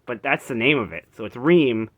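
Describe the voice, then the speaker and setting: A man's voice: medium pitch, animated, a little fast, lo-fi sound. A man casually showing off equipment unscripted, rambling and breaking off as he goes, recorded on a handheld phone. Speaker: vlogger showing vintage reel-to-reel tape recorder. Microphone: handheld phone camera.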